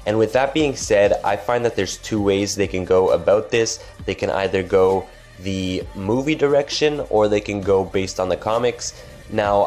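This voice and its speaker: A man with a deep, low voice, nasal and stuffed up from a cold, rambles casually through gaming news as a channel host. He leans on repeated stock phrases and restarts. Faint music plays quietly under him. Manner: chatty, lots of restarts and filler phrases. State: congested from a cold. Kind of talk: casual game news commentary.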